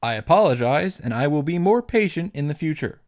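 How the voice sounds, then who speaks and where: A man's voice: a little high, animated, brisk, darkish tone, no room sound, studio quality. Wry, profane male game recapper. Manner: wry, irreverent, swears for comic effect. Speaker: video game summary host. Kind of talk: sarcastic story recap with asides.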